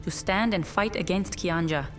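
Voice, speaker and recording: Woman doing an ancient motherly witch, earnest formal warnings, fantasy roleplay audio, slightly low pitch, bit of music behind. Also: moderate pace, close to an everyday voice. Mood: earnest, pleading. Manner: earnest, portentous, formal phrasing. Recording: music under the voice.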